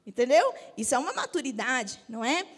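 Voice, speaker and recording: A woman in her fifties with a Brazilian Portuguese accent, a bishop giving a lively church teaching talk and joking with the audience. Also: high voice, fairly quick, in a large hall. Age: 50s. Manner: lively, jokes with the audience, asks for agreement.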